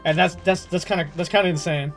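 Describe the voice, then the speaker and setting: High-voiced young man streaming games. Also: brisk, soft background music. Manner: animated, slangy, swears freely. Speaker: game streamer. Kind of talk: casual livestream chat.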